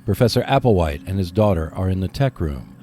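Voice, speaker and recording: A man's voice: deep voice, expressive, a little fast, mellow. A man hosting a podcast, reading scripted narration.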